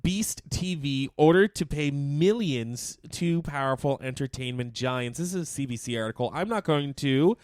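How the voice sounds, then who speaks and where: Smooth-voiced man chatting, close and dry. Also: medium pitch, expressive, normal speed, hardly any pauses, darkish tone, no echo. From Canada. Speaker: co-host of a local music and chat radio show; musician. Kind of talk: casual unscripted news chat.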